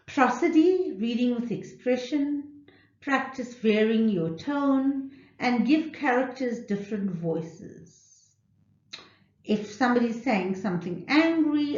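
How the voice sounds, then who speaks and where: Echoey lo-fi recording, woman, deliberate clear enunciation, instructional presentation. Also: a little high, darkish tone. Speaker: literacy programme presenter. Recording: roomy, echoing, dull lo-fi audio.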